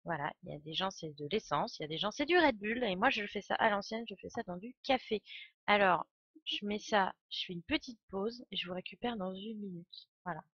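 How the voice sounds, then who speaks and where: A woman's voice: medium pitch, lively intonation, fairly quick, a bit bright, husky, no room sound. A woman commentates casually on a live game stream. She jokes and thinks aloud in a mid-range voice. The sound is close and dry but slightly dull. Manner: informal, joking, thinking aloud.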